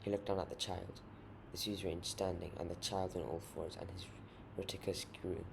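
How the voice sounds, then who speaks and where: Deep gravelly man narrating a grim story. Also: flat delivery, moderate pace. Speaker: author reading own short story. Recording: noisy amateur home recording.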